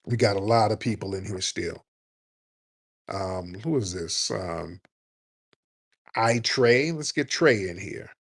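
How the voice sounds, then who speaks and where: A man's voice: slow pace, long pauses. Blunt, fired-up man hosting a call-in show. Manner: blunt, profane and animated, mocking others in a high put-on voice. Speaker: host.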